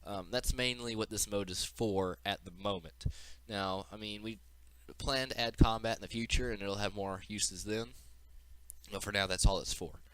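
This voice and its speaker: A man's voice: lowish voice, steady tone, normal speed, fairly bright tone, clean tone, poor audio. A man giving an informal, unscripted walkthrough of a game demo as its developer, explaining as he goes, with audible breathing into the mic.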